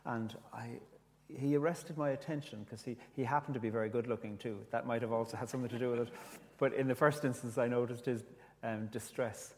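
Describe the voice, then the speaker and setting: A man with an Irish accent, whose first language is Irish, gently telling a reflective story with restarts and reading his own poetry. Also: mid-range voice, long reverb tail. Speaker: speaker, poet.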